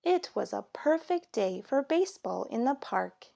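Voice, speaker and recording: A woman reading a children's story aloud in an expressive, measured storytelling manner. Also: high-pitched, creaky. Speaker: storybook reader. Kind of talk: children's story read aloud, scripted narration.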